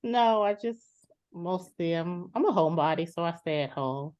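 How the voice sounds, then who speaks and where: A woman in her thirties playing a practical homebody older sister in a therapy role-play, halting and reflective. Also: lowish voice, expressive, a little mellow, no echo, highs cut off, close to an everyday voice. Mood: reflective, unsure. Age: 30s.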